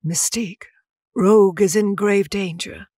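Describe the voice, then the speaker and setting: An actress playing an old blind seer in an audio drama, grave, cryptic and troubled. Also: female voice, mid-range voice, fairly even intonation, measured pace, dark tone, husky, dry recording, clean studio sound. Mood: grave, warning. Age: older.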